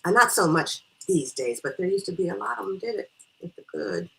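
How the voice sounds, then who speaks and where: A woman voicing a chatty, excitable, nosy female schoolteacher from a small town in a storybook reading. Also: mid-range voice, a little fast, gravelly, full character voice, rough recording. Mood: gossipy. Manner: gushing, gossipy, stammers when flustered.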